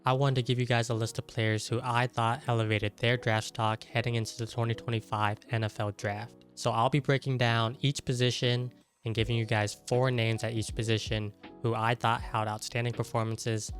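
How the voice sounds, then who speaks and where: A man's voice: mid-range voice, little pitch movement, moderate pace, soft background music. A man with a nasal, congested voice from a cold, methodically reading through a scripted sports breakdown player by player. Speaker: draft analysis creator.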